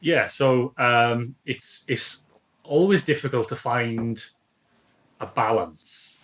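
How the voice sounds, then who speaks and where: A man speaking as a guest author in a casual interview, explaining things in a thoughtful, halting way. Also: medium pitch, a bit bright, slight background noise.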